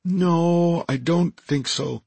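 A man voicing an excitable, frank young furry alien in a squeaky, eager voice for an audiobook story. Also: drawn-out words, gravelly, slightly rough, no room sound, exaggerated voice. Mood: appraising. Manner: eager, blunt, simply worded.

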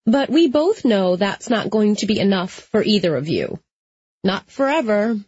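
A woman's voice: mid-range voice, big pitch swings, normal speed, a little mellow, husky, no room sound, highs cut off, pitched above the performer's own voice. A woman voicing a sassy, blunt young female vampire in an audiobook, with an emphatic, informal manner. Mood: scoffing.

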